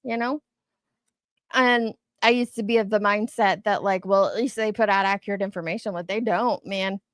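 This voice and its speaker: A woman's voice: mid-range voice, steady tone, normal speed. A woman giving casual, candid commentary as an online show host, speaking emphatically and swearing mildly.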